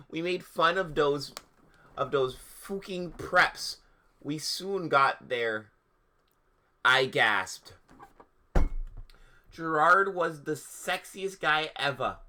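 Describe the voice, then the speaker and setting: Male streamer doing mock-dramatic readings: slightly high, sharp-edged voice, emphatic delivery, offhand asides. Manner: theatrical, mocking delivery that breaks into laughter. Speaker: livestream host.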